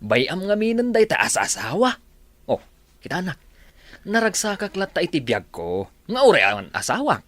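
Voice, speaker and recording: An actor playing a cheerful, teasing married sidekick to a boss in an Ilocano radio comedy drama. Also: male voice, high-pitched, huge pitch swings, moderate pace, fairly bright tone, dry recording, exaggerated voice. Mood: teasing, jolly.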